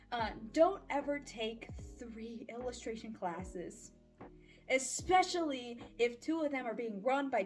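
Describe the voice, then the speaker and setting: Casting: woman, twenties, higher-pitched voice, informal vlogger delivery, frank, slangy and wry, music underneath. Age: 20s. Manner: candid, slangy, playfully sarcastic. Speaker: vlogger and voice-acting audio creator. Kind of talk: casual chatty life-update vlog. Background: background music.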